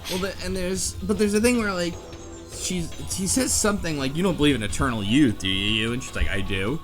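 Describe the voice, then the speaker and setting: Halting man chatting over music. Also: on the high side. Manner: halting, rambling. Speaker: podcast co-host. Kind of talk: casual chat.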